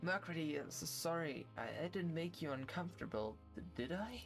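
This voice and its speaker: A man's voice: on the high side, lively intonation, normal speed, gravelly, soft background music. A man voicing a tiny, caring teenage girl in a furry visual novel, earnest, reassuring and a little hesitant. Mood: shy, gentle.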